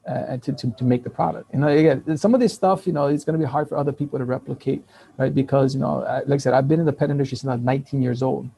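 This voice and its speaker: A man of fifty, raised in Taiwan and Hawaii with Taiwanese as his first language, telling business stories as a guest in a casual interview with lively restarts and laughs. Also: medium pitch, very fast, creaky, breathy edge. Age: 50s. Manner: animated storytelling with frequent restarts and laughs.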